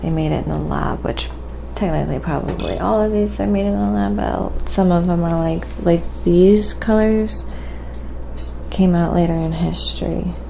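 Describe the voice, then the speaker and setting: A soft-spoken woman live-streaming a quiet ASMR painting tutorial, chatting casually and sometimes dropping to a whisper. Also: on the low side, moderate pace, creaky, noisy background.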